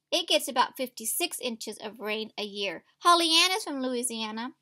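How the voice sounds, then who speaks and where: Female primary teacher for picture-book read-alouds; high, bright voice, unhurried delivery, speaks straight to the kids. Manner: instructive, addressing children directly with questions. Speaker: teacher of young children. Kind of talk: read-aloud storybook lesson for young children. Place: indoors at a table, reading from a picture book.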